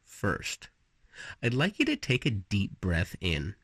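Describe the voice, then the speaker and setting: A man leading a guided relaxation meditation with storytelling, speaking slowly and soothingly in an instructive way with pauses. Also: lowish voice, wildly swooping pitch, fairly bright tone, husky, no echo, clean studio sound.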